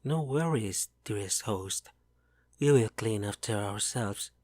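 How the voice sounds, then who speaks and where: A man voicing a gruff male dwarf who makes plain, wry remarks. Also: on the low side, animated, takes it slowly, a little mellow, no echo. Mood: dry. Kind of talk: fan audio drama roleplay.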